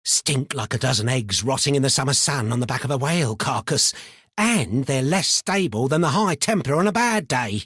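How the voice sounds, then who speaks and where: An eccentric miner from a fantasy video game, chatty and a little unhinged. His pitch swoops about freely as he rambles and mutters in colloquial phrases, sliding between chummy and suspicious. The recording is close and dry. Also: lively intonation, normal speed, a bit bright, husky, dry recording. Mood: chummy, animated. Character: eccentric, paranoid, chatty mad miner, adult man. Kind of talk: video game character voice lines.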